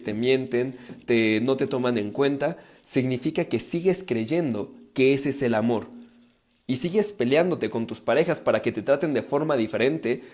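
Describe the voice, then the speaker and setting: A man's voice: mid-range voice, darkish tone. A man with a Mexican accent giving an informal live self-help talk to viewers, explaining emphatically and acting out inner dialogue as he goes. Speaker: livestream speaker on relationships and psychology.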